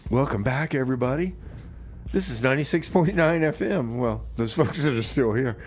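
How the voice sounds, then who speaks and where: Easygoing male radio host, medium pitch. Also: animated, normal speed. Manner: easygoing, interjecting, repeats short phrases. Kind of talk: casual comic banter and DJ announcing. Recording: faint background noise.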